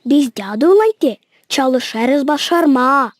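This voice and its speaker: A woman's voice: high voice, animated, takes it slowly, dry recording. A woman with a Gagauz accent voicing an eager, curious little girl in a children's cartoon.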